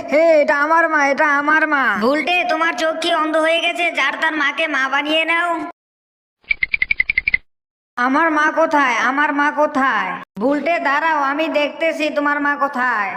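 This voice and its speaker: A woman voicing excitable cartoon characters in exaggerated skits, with a scolding, exclamatory delivery. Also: sits high, normal speed. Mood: playful, boastful. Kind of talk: exaggerated cartoon skit voice acting. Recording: loud background noise with clicks.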